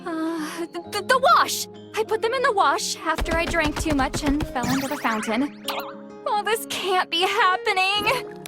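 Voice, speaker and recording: A grumpy, easily embarrassed game heroine with a high, swooping voice. She talks huffily and without hurry, with music playing behind her. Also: lively intonation, measured pace. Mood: flustered. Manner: stammering, huffy, sighing. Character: flustered, grumpy, easily embarrassed young-sounding woman. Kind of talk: video game character acting.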